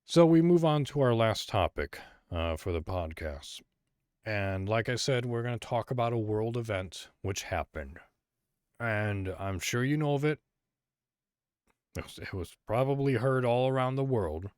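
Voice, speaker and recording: A man's voice: medium pitch, lively intonation, measured pace, darkish tone, clear, resonant tone, no room sound, studio quality. A man from Illinois hosting a solo podcast, talking casually and rambling with frequent fillers and restarts. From Illinois, USA. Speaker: podcast host.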